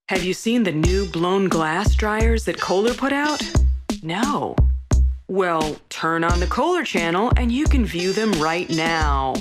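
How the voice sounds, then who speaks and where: Loud instrumental music runs under a woman telling a satirical story. Her voice sits a little low, and she delivers the comic monologue in a folksy, deadpan way.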